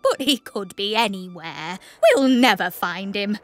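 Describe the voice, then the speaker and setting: A woman voicing a fretful, kindly female train in a children's cartoon, dramatic and expressive. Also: mid-range voice, a little slow. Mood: distraught. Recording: music under the voice.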